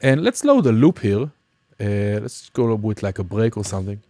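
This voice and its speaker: A man's voice: fairly low pitch, big pitch swings, normal speed, warm tone. Man giving casual tutorial over music. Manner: casual, explanatory. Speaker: music production instructor. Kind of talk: screen-recorded software tutorial, informal walkthrough.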